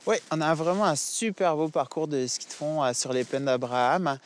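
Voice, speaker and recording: A man's voice: a little high, normal speed. A man with a Québécois French accent explaining cross-country skis and the course with enthusiasm outdoors. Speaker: cross-country ski expert. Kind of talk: instructional explanation. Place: snowy park outdoors.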